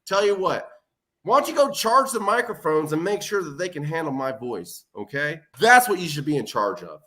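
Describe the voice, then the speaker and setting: A man speaking as a guest wrestling manager in a loud, scolding rant. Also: fairly high pitch, sing-song, moderate pace. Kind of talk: heated promo-style rant.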